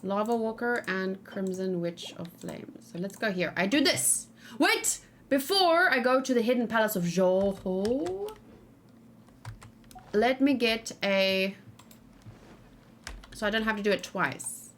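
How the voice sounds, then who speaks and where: A woman with a mid-range voice gives casual live commentary on a game. She thinks aloud and chats to her viewers in an informal way, with animated exclamations mixed into the talk. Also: medium pitch, slow, a little hiss or hum. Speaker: gaming streamer.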